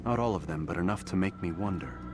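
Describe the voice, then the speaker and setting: A man's voice: low-pitched, lively intonation, quick pace. An actor playing a brooding, questioning young medieval assassin in a video game, terse and formal in his speech. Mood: doubting. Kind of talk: video game drama acting. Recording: loud game music under the voice. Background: video game music.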